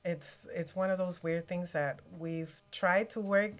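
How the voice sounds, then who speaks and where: A middle-aged woman speaks casually as a guest in conversation. Her voice is a bit low for a woman. She is reflective and halting, restarting her thoughts as she goes. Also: steady tone. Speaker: podcast guest, realtor.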